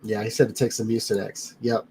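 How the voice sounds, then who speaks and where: A man's voice: on the low side, flat delivery, fairly quick, darkish tone, slight background noise. A man hosting a casual livestream, rambling in a friendly way and greeting viewers by name, his nose a little blocked up. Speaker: livestream host reading chat. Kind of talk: casual live chat. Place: home studio.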